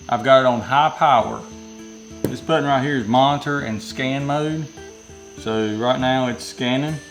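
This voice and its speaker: A man's voice: medium pitch, poor audio. A man with a Southern US accent giving a casual, rambling product review from inside a metal building, often correcting himself mid-sentence. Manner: rambling, self-correcting, folksy.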